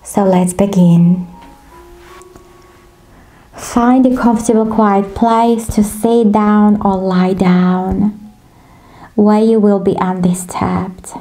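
A woman leading a guided sleep meditation in a gentle, soothing, instructive manner, as a meditation guide. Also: mid-range voice, steady tone, slow pace, long pauses, faint music behind, poor audio. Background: ocean waves.

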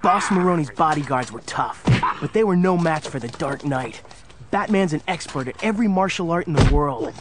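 An actor playing an earnest, youthful superhero sidekick, narrating a children's audio drama. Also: male voice, fairly high pitch, expressive, moderate pace, a little hiss or hum, lightly acted. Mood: earnest storytelling. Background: music.

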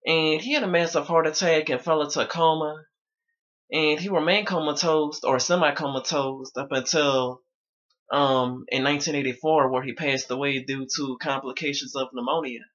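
A man's voice: fairly high pitch, fairly even intonation, normal speed, a bit bright. Young man, casual vlog talk, slightly strained voice, small bedroom, muffled lo-fi webcam audio. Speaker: vlogger.

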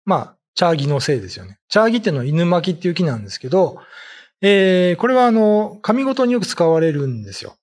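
A middle-aged man with a slightly husky voice, giving informal explanatory commentary in halting, conversational phrases. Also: high-pitched, big pitch swings, darkish tone. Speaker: ghost story writer and commentator. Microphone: desk condenser mic with pop filter.

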